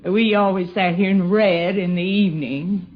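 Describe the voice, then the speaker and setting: Low-voiced older woman on old radio. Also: fairly even intonation, moderate pace. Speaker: interviewee, hometown acquaintance. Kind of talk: reminiscing interview. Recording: dull 1950s radio recording.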